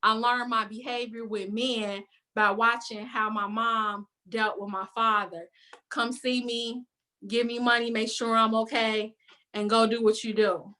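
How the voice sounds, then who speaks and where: A woman from the west side of Chicago with a Chicago accent, talking openly with a panel. Her voice is bright and sits a little high. She thinks aloud and lets "um" fill the gaps, heard through a video call. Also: level tone. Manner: reflective, with frequent "um" pauses. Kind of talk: candid personal sharing on a video call panel.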